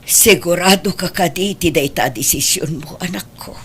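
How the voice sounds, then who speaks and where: Husky middle-aged filipino mom in a radio drama, worried and pleading, kinda sorrowful. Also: female voice, fairly low pitch, normal speed. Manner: pleading, lamenting.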